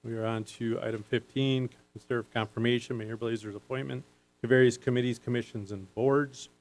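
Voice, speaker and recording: A man's voice: mid-range voice, steady tone, brisk, poor audio. A man chairing a city council meeting reads agenda items and vote results in a businesslike, procedural way in a council chamber.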